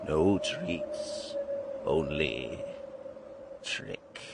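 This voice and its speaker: An actor playing a sinister, shadowy tall man with slow, menacing, drawn-out delivery in an audiobook drama. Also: extremely low pitch, expressive, creaky, over loud music, exaggerated voice. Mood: slow menace.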